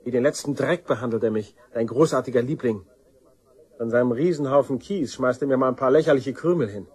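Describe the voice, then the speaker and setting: Actor as bitter, husky, aggrieved son. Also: male voice, medium pitch, brisk, darkish tone, natural voice. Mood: bitter, accusing. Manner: sarcastic, accusing. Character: bitter, aggrieved younger son, sneering at his mother. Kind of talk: German crime radio drama acting.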